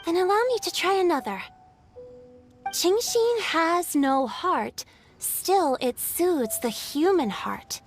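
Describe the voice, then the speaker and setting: A woman's voice: extremely high pitch, expressive, slow, pauses often, soft background music, heavily acted. A woman voicing an earnest, polite young poetry enthusiast in a video game, reciting with care. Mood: eager.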